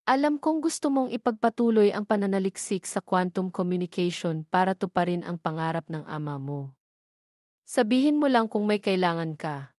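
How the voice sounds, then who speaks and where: A woman's voice: medium pitch, animated, moderate pace, a little mellow, no room sound, studio quality, close to an everyday voice. A woman voicing a fatherly older wealthy man who talks in a reassuring way in an audio drama.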